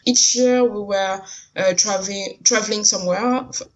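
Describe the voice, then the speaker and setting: A woman's voice: medium pitch, fairly even intonation, moderate pace. French-accented woman in dull lo-fi sound. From Guadeloupe, French West Indies. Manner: hesitant, searching for words. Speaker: interview guest. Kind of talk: casual conversational interview.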